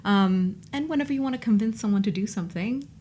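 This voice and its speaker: A woman's voice: medium pitch, fast talker, warm tone, a little hiss or hum. A young woman with a hybrid American and British accent, chatting as an interview guest with frequent ums. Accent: mixed American and British. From Japan and abroad. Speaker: guest, theatre director. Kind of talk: casual interview conversation.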